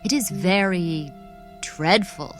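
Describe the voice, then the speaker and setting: A woman playing a regal, gentle and dignified duchess with formal, genteel speech in a dramatised historical audiobook. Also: lowish voice, takes it slowly, faint music behind. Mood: concerned.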